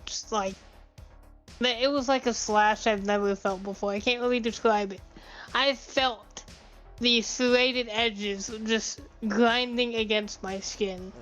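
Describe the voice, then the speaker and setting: Slow-talking woman with a dull lo-fi sound. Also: a little high, fairly bright tone. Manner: profane rambling storyteller. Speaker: podcast co-host. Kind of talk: casual chat.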